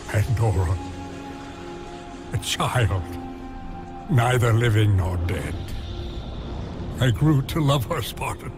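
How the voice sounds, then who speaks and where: Hulking old-world forge god for a game, slow gravelly bitter, protective dad, music behind. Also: male voice, medium pitch. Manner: slow, brooding. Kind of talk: video game cutscene acting.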